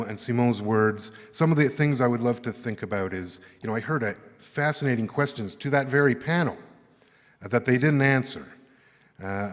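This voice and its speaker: A Canadian man giving earnest closing remarks at an arts summit, halting and thoughtful, with many fillers. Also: mid-range voice. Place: large conference hall. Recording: echoey hall with long reverb.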